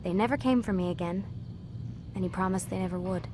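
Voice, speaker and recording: An actress playing a troubled young scientist in a video game cutscene, speaking with an American accent in a confiding, hesitant, resigned way. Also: female voice, fairly low pitch, a little fast, lightly acted. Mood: subdued, resigned. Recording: clear background noise.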